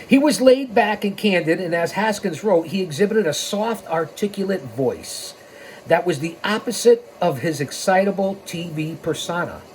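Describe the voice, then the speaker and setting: A man's voice: high voice, animated, moderate pace, a little hiss or hum, poor audio. An American man in his sixties hosting a podcast, reading aloud and breaking off into emphatic, rambling commentary addressed straight at people. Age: 60s. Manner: emphatic, rambling, with sidetracks and direct appeals. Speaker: podcast host.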